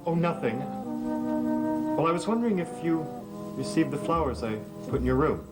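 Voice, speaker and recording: An actor plays a lovesick young man of a gothic TV soap. His voice sits fairly high, earnest and moody, with music playing clearly behind it. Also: lively intonation, moderate pace, lightly acted. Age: young adult. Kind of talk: 1970s gothic soap opera acting.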